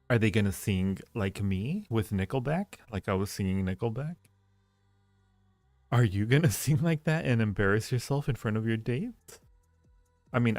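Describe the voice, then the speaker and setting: Male livestream host, low gravelly voice, thinks out loud conversationally, close dry sound. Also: dry recording. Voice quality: low-pitched, gravelly creak. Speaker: livestream host reading viewer chat. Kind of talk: casual livestream chat with viewers.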